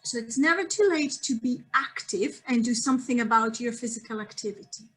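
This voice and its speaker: A woman with a Greek accent gives a friendly health lecture over a video call. Her voice sits a little high, and she fills her pauses with ums and small restarts. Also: fairly bright tone, poor audio.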